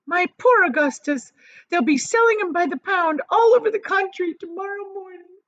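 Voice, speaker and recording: A woman's voice: extremely high pitch, normal speed, a bit bright, dry recording, lo-fi sound, pitched above the performer's own voice. A woman reading a storybook and voicing a hysterical, bossy, outraged mother who shrieks her demands indignantly. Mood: frantic.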